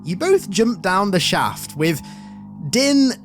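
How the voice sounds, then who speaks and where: A male host gives energetic scripted commentary on a video game's story. His pitch moves around a lot, keeping the delivery animated. Faint music plays underneath his voice. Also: slow. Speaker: YouTube host recapping video game story.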